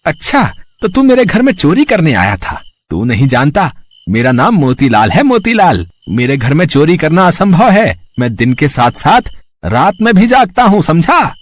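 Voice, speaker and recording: A greedy, scheming merchant from a moral-story cartoon, acted out by a man. The voice is fairly high and very animated, oily and showy in its politeness, recorded close and dry. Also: normal speed, no room sound. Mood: menacing, gloating. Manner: oily, showy politeness with self-satisfied chuckles. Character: greedy, showy rich village merchant, fake-humble and scheming.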